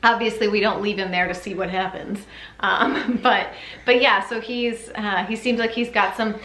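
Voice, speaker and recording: A woman's voice: mid-range voice, steady tone, normal speed, fairly bright tone. A woman talking casually and chattily for a parenting vlog, rambling a little in a giggly, informal way. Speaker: parenting vlogger, mother. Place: upstairs bedroom at home. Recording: echoey room.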